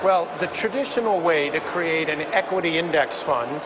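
A middle-aged man explaining an investment strategy as a guest on a business news program, in a measured, explanatory way. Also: on the high side, roomy sound, in a large hall, lo-fi sound, crowd noise.

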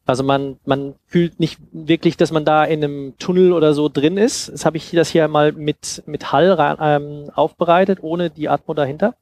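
A man in his thirties giving an informal demonstration talk into a headset mic, explaining haltingly and thinking aloud. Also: a little high, steady tone, darkish tone. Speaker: conference speaker on sound design. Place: seated on a sofa in a festival room. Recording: clear background noise and ambience.

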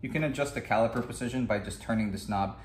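A man's voice: medium pitch, fast, a little hiss or hum. A man giving a plain, step-by-step voice-over as he walks through a scooter repair. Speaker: DIY scooter builder. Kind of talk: tutorial voice-over.

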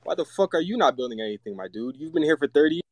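A man's voice: a little high, expressive, rapid-fire, no room sound. A man calling in to a live debate show, confrontational and swearing as he presses his questions. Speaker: caller. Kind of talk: heated call-in debate.

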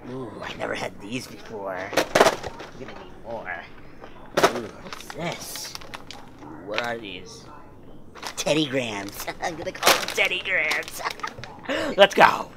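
A young man doing a comic shopping vlog to camera in a manic, giggly way, swinging between mutters and yells. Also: mid-range voice, animated, slow, pauses often. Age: young adult. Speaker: comedy vlogger and host. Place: large store aisles, indoors. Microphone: handheld camera a short distance away. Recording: clear background store noise.

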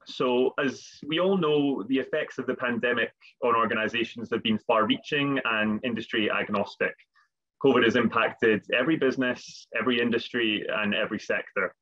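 Halting man presenting on a lo-fi call. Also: medium pitch, fairly bright tone. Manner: hesitant, repeats words. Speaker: webinar presenter. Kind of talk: webinar presentation. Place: home office, video call. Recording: dull lo-fi video call audio.

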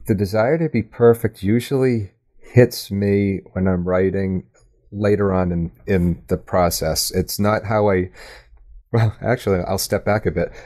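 A man with a low, deep voice answers as a guest in a casual interview. He is thoughtful and candid, working out his answers as he talks. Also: a little mellow. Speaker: podcast guest, audio drama writer. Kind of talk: casual, reflective interview chat.

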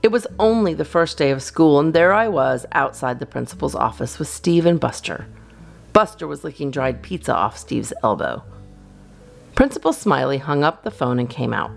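A woman reading a children's storybook aloud as a storyteller, in an expressive, animated style. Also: low-pitched, normal speed, gravelly, soft background music. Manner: expressive, acts out dialogue. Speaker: storyteller, channel host.

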